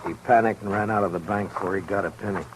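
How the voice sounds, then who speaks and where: Weary US marshal, played by a man: very low American voice, dry and measured, 1950s radio western with background noise. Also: fairly even intonation, lightly acted. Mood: weary, troubled. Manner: dry, measured, quietly sardonic. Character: weary, principled US marshal in the Old West. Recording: noisy old 1950s radio recording.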